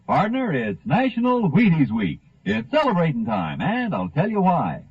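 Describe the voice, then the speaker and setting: A thin, phone-like vintage radio recording of an American man selling in 1950s ad style. His fairly high, very lively voice pushes each point with folksy emphasis. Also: normal speed. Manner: folksy, emphatic salesman patter. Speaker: commercial pitchman.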